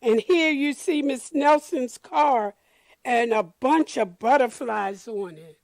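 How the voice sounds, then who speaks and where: A woman reading a children's picture book aloud expressively, deliberately addressing children and explaining the pictures. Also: sits high, creaky, dry recording.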